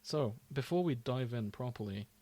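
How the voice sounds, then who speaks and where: A man co-hosting a podcast in casual, rambling chat, informal and quick to laugh. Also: medium pitch, expressive, dark tone. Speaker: podcast co-host.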